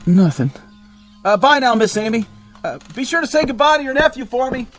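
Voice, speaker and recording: A timid townsman in a radio drama, agreeing too eagerly out of fear. He stammers, and his intonation jumps about. Music is clearly audible behind him. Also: very high-pitched, lively intonation, fairly quick, a bit bright. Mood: nervous, placating.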